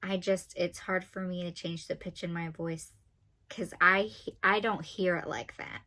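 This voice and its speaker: A woman in her twenties with a nasal, monotone voice, chatting fast and dryly as a vlogger counting off facts about herself. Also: lowish voice. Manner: talks fast and a lot, dry and sarcastic. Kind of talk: casual talking-to-camera list video.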